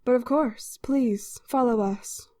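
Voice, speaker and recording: Druid escort, actress: soft dark tone, serene, gracious, fantasy audio drama. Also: female voice, a little high, takes it slowly. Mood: calm, gracious.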